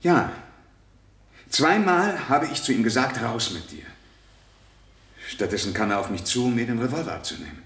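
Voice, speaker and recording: A man's voice: mid-range voice, lively intonation, a bit bright. An actor playing an anxious, hesitant husband and father testifying at his trial in a radio play. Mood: agitated, defensive. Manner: hesitant, halting, searching for words. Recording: echoey room, 1970 radio play.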